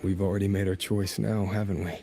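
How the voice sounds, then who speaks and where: An actor playing a steady male companion in a video game who speaks in a calm, gentle and resolute way. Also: low voice, fairly quick, over music. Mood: reassuring.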